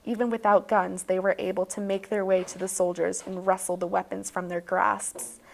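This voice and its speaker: Woman doing a youtube story narration, kinda mock-serious and dramatic, medium pitch. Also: little pitch movement, normal speed, vocal fry, darkish tone. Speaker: video host and storyteller. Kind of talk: tongue-in-cheek bedtime story narration.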